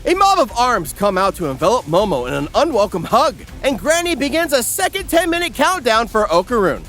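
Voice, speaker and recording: A man's voice: very high voice, sing-song, a little fast, pushed and bright. A man narrating a scripted comedic recap in a theatrical, crude, sardonic way. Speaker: narrator and host. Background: background music.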